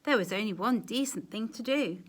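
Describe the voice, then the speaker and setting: Woman reading a kids story out loud, super expressive n dramatic, voice goes up and down loads. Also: medium pitch, normal speed. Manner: animated, dramatic emphasis, quiet asides to young listeners. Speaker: storyteller, teacher. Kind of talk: expressive children's storybook read-aloud.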